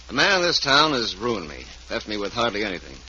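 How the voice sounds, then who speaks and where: A bitter, vengeful man in a vintage radio crime play. He is formal and grim, with a low, very sharp-edged voice. The old recording is muffled and slightly hissy. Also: big pitch swings, fast talker, heavily acted. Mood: guarded, bitter. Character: ruined, bitter, vengeful man, coldly determined. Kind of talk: old-time radio crime drama acting.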